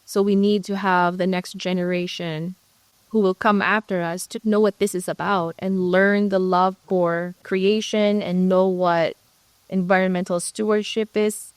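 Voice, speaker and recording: Guest woman from the Philippines, reflective storyteller, smooth clear voice, dry close sound. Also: medium pitch, level tone, a little slow, no echo. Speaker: guest, ministry co-leader. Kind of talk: conversational interview.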